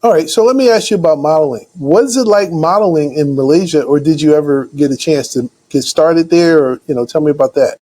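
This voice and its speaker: A man with a notably high voice hosts an interview and asks his questions hesitantly. He often repeats words before he gets the question out. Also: fairly quick, hardly any pauses, dark tone. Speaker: show host.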